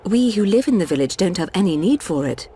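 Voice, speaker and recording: An actress playing a caring, serious healer in a video game, with measured, gentle delivery and a dark, husky voice. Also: female voice, lowish voice, expressive, slight background noise, close to an everyday voice. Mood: solemn. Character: caring, grave female healer and mechanic, candid adviser. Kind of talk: dramatic video game voice acting.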